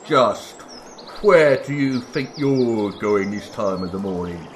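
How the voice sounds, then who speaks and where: A male actor as slow, gruff, blustering gate guard. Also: on the low side, animated, slight background noise. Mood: challenging. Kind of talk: radio-serial style acting.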